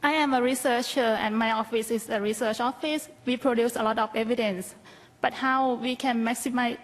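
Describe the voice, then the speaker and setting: A woman's voice: a little high, steady tone, normal speed. A woman with a Thai accent giving a conference presentation as a researcher, explaining things in a plain, modest way. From Thailand. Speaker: health policy researcher, conference panelist.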